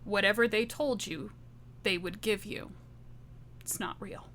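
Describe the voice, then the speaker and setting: A woman's voice: medium pitch, measured pace, a bit bright, slight background noise. A woman playing a bitter, distrustful ex-wife turned monster hunter in a tabletop game, speaking insistently. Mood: bitter, hurt.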